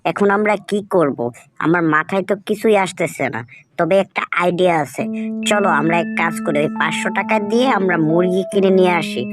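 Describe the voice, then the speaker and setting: A woman's voice: fairly low pitch, fairly quick, over music, close to an everyday voice. A woman voicing a practical, emphatic wife of a poor farmer in a children's cartoon. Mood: worried, scheming.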